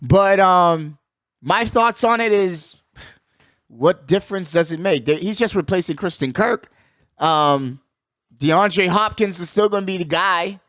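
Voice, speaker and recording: A man's voice: high voice, a little slow, fairly bright tone, no echo, clean studio sound. A man hosting a sports show, talking casually with strong opinions in a slangy, emphatic, conversational way, often repeating his phrases.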